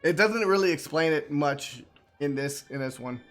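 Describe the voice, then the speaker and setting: Rambling male game streamer, slightly high voice. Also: expressive, normal speed, fairly bright tone, soft background music. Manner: rambling, repeats his phrases. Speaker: gaming streamer. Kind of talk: casual game commentary.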